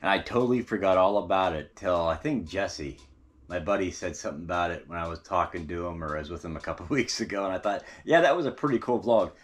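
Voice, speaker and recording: A man's voice: sits low, fast. An American man telling local stories as a vlogger in a casual, folksy, chatty way, talking straight to his viewers. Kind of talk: casual storytelling vlog about local history. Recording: noisy, with ambient vehicle sounds.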